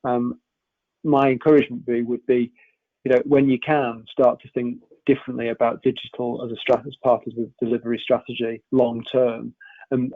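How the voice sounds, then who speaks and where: A man on a webinar panel speaks in a flat, even tone, hesitant and restarting his sentences. His video call audio is dry and thin, like a phone line. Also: mid-range voice, creaky, dry recording. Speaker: panel guest. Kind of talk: webinar discussion.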